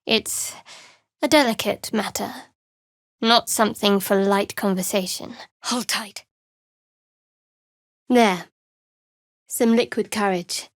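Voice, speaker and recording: A woman playing a guarded, sardonic young cleric of a dark goddess in a video game, speaking in a dry, measured, wry way. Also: mid-range voice, no echo, natural voice. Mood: cool, measured. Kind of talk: video game character voice acting.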